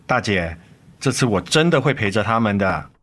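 A man playing an earnest, repentant father in a radio drama, gentle and reassuring. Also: fairly low pitch, expressive, normal speed.

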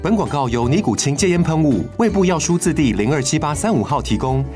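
A woman's voice: deep voice, animated, fairly quick, dark tone. A woman reading a scripted commercial as an advertisement announcer. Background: background music.

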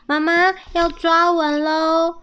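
A woman voicing excited, eager, playful little squirrel children in a picture-book read-aloud. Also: very high-pitched, slow, muffled recording, pitched above the performer's own voice, heavily acted. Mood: happy.

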